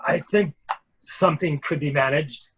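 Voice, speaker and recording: A courteous army major acted by a man, husky and fairly high in pitch. He talks politely and a little hesitantly, with an apologetic air, in a mystery drama reading. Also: measured pace.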